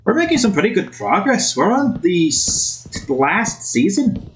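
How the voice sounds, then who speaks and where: Male gaming host, highish animated voice, rambling in a shaky imitation Scottish accent, faint noise. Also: unhurried, low-quality recording. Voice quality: put-on, wavering Scottish accent. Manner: rambling, self-commenting. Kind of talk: casual game commentary.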